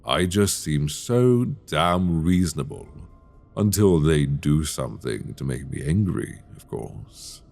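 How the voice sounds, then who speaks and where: A man's voice: rumbling low, animated, gravelly, faint music behind, heavily acted. A man playing an imprisoned mad dragon king, seductive, obsessive and menacing, in an intimate, teasing, theatrical ASMR roleplay delivered close to the mic. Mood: brooding. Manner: theatrical, teasing, drawn-out delivery with soft asides. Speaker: audio roleplay voice actor. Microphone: close binaural microphone.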